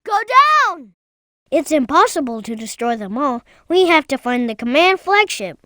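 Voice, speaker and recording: An actor voicing a plucky young cartoon fox boy sidekick in a video game, childlike and earnest, giving clearly spoken hints and warnings. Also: high voice, animated, normal speed, a bit bright, no room sound, studio quality. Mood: excited, alarmed, then worried.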